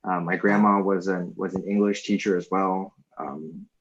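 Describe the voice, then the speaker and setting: A man with a Canadian accent giving casual, thoughtful answers as an interview guest, pausing to think as he goes. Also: low-pitched, flat delivery. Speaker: interview guest, teacher community lead. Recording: dull, lo-fi call audio.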